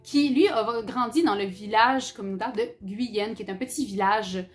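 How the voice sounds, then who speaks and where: A woman's voice: medium pitch, moderate pace, faint music behind. A young woman with a Quebec French accent, from Abitibi, talking candidly and casually about books in her vlog. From Abitibi-Témiscamingue, Quebec. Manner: chatty and candid, with fillers, English words and swearing. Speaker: book YouTuber. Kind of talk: casual book-review vlog.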